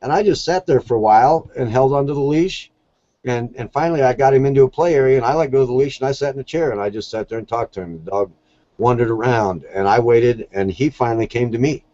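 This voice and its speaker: A man's voice: mid-range voice, fast, mellow, no room sound, lo-fi sound. A man talking casually as an interview guest, telling stories in a plain-spoken, earnest way. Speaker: podcast guest, shelter dog volunteer.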